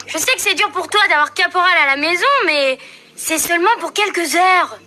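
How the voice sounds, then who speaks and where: A woman voicing an earnest young boy in a cartoon, with loud music underneath. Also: extremely high pitch, fairly quick. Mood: scolding. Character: earnest young boy who looks after animals. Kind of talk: cartoon dub acting.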